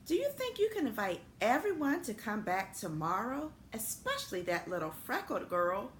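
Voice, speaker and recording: A woman's voice: a little high, very animated, moderate pace. A woman voicing a sensitive, innocent male school building in a storybook, with a tentative, earnest manner. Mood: eager, hopeful. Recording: echoey room with noticeable background noise.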